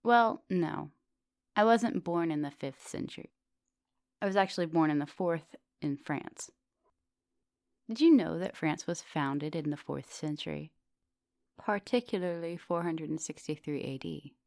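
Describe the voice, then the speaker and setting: Low, close vampire professor played by a woman. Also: measured pace, dry recording. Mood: proud, lecturing. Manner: softly teasing, dropping into breathy whispers. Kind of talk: intimate ASMR roleplay.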